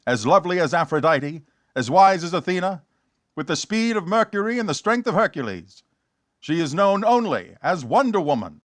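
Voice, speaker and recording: A man's voice: high voice, very animated, moderate pace, no echo. A man narrating a superhero radio serial in a grand, theatrical 1940s storytelling style. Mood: grand, dramatic.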